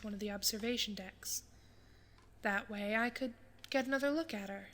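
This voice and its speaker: A woman's voice: medium pitch, normal speed, a bit bright, lightly acted. A woman playing a reflective first-person narrator in a science-fiction audio drama. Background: music score.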